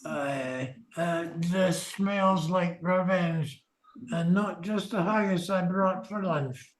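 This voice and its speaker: Retired Scottish doctor, good-humored, unhurried delivery, amateur radio comedy; male actor. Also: high voice. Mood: wry. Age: older.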